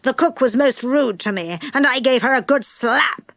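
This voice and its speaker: A woman's voice: high-pitched, lively intonation, moderate pace, fairly bright tone, no room sound, clean studio sound. A woman doing a stern old schoolmistress.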